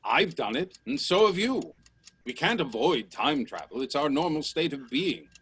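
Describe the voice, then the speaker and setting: An actor playing a confident, eccentric professor and inventor in a radio play, assured, formal and grandly insistent. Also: male voice, a little high, very animated, normal speed, a bit bright, slightly rough, exaggerated voice.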